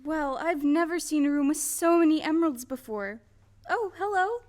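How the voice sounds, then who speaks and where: A woman's voice: high voice, animated, normal speed, background noise. A woman playing a polite, brave, eager young girl in an audio play. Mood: excited, curious. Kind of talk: stage-style audio drama acting.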